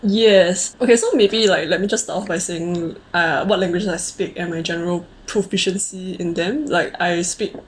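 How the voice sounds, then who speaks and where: Casting: Singaporean woman, late 20s, lowish voice, casual co-host chat, noisy background. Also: normal speed, hardly any pauses. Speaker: co-host of a conversational video series.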